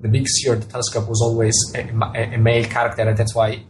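A man's voice: mid-range voice, flat delivery, quick pace, background noise. A man with an Italian accent, an author answering interview questions thoughtfully, often repeating words and restarting his sentences. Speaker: author and astronomer.

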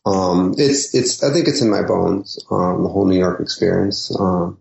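A man's voice: sits low, fairly even intonation, normal speed, gravelly, darkish tone, a little hiss or hum. A man in his fifties from San Diego, a native Spanish speaker, talking as a poet guest in a casual interview, hesitant with many ums and restarts. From San Diego, California. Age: 50s. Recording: echoey lo-fi remote line.

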